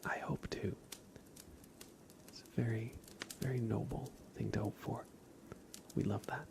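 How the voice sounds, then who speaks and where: A soft-spoken man talks as an ASMR livestream host, slipping between hushed speech and breathy whispers. His pace is slow and unhurried, with a crackly fry in his mid-pitched voice. He addresses viewers casually and tends to repeat words. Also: steady tone.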